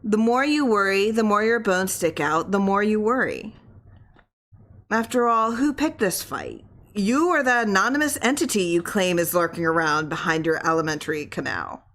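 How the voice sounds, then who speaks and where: A woman voicing a gentle, reassuring wife who tenderly coaxes her troubled husband in an audiobook story. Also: medium pitch, normal speed, lightly acted. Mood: firm, reassuring.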